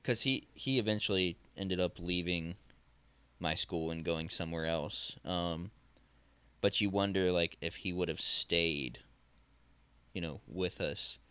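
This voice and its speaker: A man in his twenties co-hosting a podcast, talking in a casual, halting way, often restarting and searching for words. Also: sits low, a bit bright, smooth, no echo. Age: 20s. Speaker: podcast co-host.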